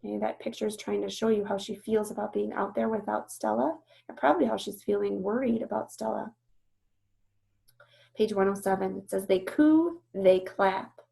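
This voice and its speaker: A woman's voice: mid-range voice, little pitch movement, fairly quick, vocal fry, warm tone. A woman teacher reading a storybook aloud and explaining it, instructive and often checking that listeners understand.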